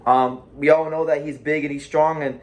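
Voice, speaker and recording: A man hosting a casual boxing talk show, talking in an animated way with frequent restarts and repeated words. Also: fairly high pitch, normal speed. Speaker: boxing channel host.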